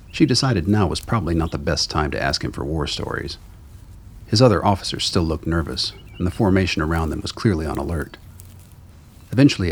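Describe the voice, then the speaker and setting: A man's voice: sits low, slightly rough. A man reading scripted dramatic narration for a radio play.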